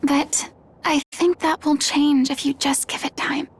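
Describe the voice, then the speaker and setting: A woman's voice: sits high, fairly even intonation, unhurried. A woman voicing a caring, impassioned girl, a loyal best friend, in an earnest and emotional video game cutscene. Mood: tender, comforting. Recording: background music under the voice.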